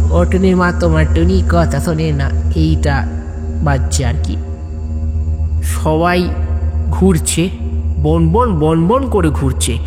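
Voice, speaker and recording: A man narrating an audio story as host, emphatic and repeating phrases for effect. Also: on the high side. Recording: long reverb, clear vehicle-like background noise.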